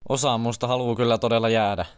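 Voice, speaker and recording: A man's voice: medium pitch, fairly even intonation, clear, resonant tone, lightly acted. A man voicing a slangy, casual young human in an amateur cartoon parody dub. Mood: casual, sincere. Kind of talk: amateur comedy fandub.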